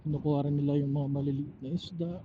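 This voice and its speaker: A man with a Filipino accent chatting casually on his own in a reflective way, pausing mid-thought, through a motorcycle helmet intercom microphone. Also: fairly high pitch, level tone. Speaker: motovlogger and angler. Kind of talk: casual, rambling solo vlog chat. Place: outdoors, riding a motorcycle. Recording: dull helmet intercom audio, faint noise.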